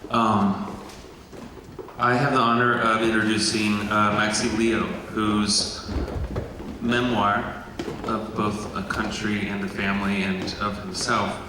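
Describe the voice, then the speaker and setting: Flat-voiced man, hesitant, distant and echoey. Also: on the low side, slow pace. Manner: hesitant, full of ums. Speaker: event host introducing the author. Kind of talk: brief introduction at a literary event. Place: large event hall. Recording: distant echoey hall with noise.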